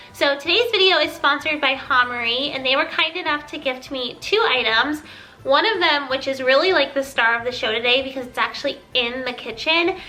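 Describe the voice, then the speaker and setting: A woman's voice: high-pitched, pushed and bright, low-quality recording. A woman hosting a casual home decor vlog, chatting in an upbeat way with a nasal, congested-sounding voice. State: congested from being sick. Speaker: video host, home decor vlogger.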